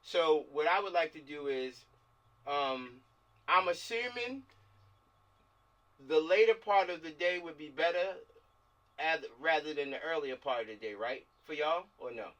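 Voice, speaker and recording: A man's voice: on the high side, measured pace, long pauses, a bit bright. A man leading a table read over a video call, chatting casually, repeating himself and checking in often. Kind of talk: casual group discussion. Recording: distant mic on a video call.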